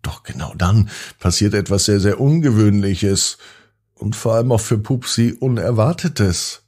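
A man hosting a children's podcast, narrating expressively and gently with drawn-out emphasis. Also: fairly low pitch, slightly rough. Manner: gentle, drawn-out emphasis, addressing young listeners. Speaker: children's podcast host and storyteller.

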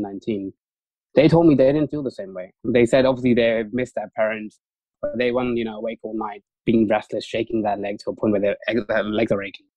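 A man's voice: fairly low pitch, a little mellow, no echo, muffled recording. A young man whose first language is Urdu, talking casually as an interview guest, with many fillers and restarts. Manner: fast, chatty, lots of fillers and restarts. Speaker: interview guest, junior doctor.

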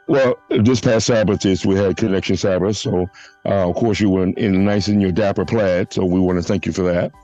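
A man hosting a church podcast, hoarse from a flu, making announcements and leading a prayer with halting restarts. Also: low-pitched, steady tone, warm tone, muffled recording, soft background music. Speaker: podcast host. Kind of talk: casual church podcast chat.

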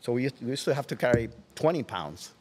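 Relaxed older man with a Puerto Rican Spanish accent. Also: fast talker, in a large hall. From Puerto Rico. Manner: relaxed, reclining, trailing off into soft low asides. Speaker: guest. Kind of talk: casual interview. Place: large watersports shop, indoors. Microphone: headset.

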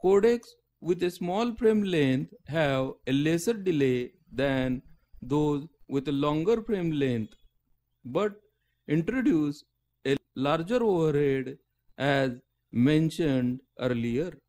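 A man reading a scripted technical lecture in halting phrases, often breaking mid-sentence. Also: fairly high pitch, animated, mellow. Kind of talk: scripted technical narration read aloud.

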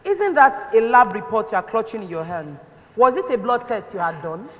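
A woman's voice: fairly high pitch, sing-song, normal speed. A Nigerian woman on stage playing an earnest, insistent, motherly clinic matron. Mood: concerned. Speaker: stage actress. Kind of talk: stage play acting. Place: stage in a large hall. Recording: echoey stage hall, muffled.

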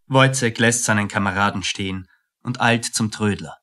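A man's voice: fairly low pitch, normal speed, pushed and bright. A man reading scripted narration as the narrator of a radio play.

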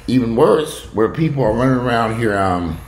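A man's voice: mid-range voice, animated, moderate pace. A middle-aged man giving a blunt, casual, opinionated monologue that wanders off on asides and includes some swearing. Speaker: vlogger commenting on news. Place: bright sunroom at home, indoors. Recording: roomy, echoey room with faint noise.

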